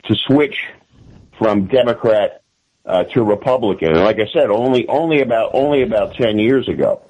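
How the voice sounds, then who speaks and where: Man, American accent, fairly low pitch, old-school radio voice, conversational interview guest, halting, repeated phrase starts. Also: lively intonation, darkish tone, rough recording. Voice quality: classic radio-style voice.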